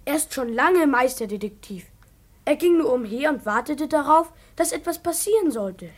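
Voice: high-pitched, animated, normal speed. A performer playing a loyal, plain-spoken twelve-year-old boy, the hero's best friend, in a children's radio play. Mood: eager.

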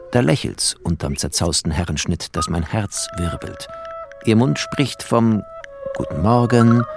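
Low gravelly bookish male narrator. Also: full character voice. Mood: tender, lyrical. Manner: terse, poetic, clipped phrases. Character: bookish male refugee narrator, wry and tender. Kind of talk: literary radio drama narration.

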